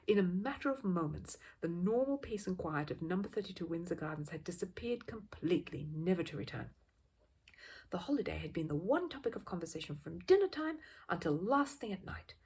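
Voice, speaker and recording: A woman reads a children's story in a slightly low, very husky and breathy voice. Her pitch rises and falls animatedly as she narrates. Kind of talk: expressive children's audiobook narration.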